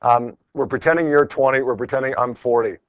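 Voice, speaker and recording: A man's voice: mid-range voice, fairly quick, dry recording, lo-fi sound. A middle-aged man teaching a class in an informal, encouraging way, repeating phrases for emphasis as he works through problems. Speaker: high school physics teacher. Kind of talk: classroom lecture, working through problems with students. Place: classroom with students.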